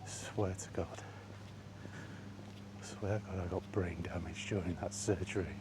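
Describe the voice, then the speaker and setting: A man's voice: sits low, fairly even intonation, measured pace. A British man rambling casually as he walks outdoors, hesitant and mildly grumbling, with outdoor noise around him. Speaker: vlogger. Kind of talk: rambling casual monologue while out walking. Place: outdoors, walking in a park. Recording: noisy outdoor ambience.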